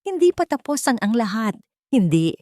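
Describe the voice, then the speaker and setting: A woman's voice: a little high, very animated, moderate pace, warm tone, no room sound, voice pushed up. A woman acts out a betrayed Filipino businessman in an audio love story. He is desperate, venomous and accusing, with a Filipino accent and a dry, close sound. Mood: desperate rage.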